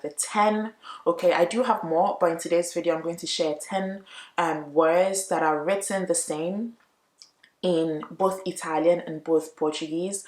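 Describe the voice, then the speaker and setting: Low-pitched woman vlogger in an echoey room. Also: a little mellow. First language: English. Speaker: YouTube language vlogger. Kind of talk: casual explainer chat to viewers.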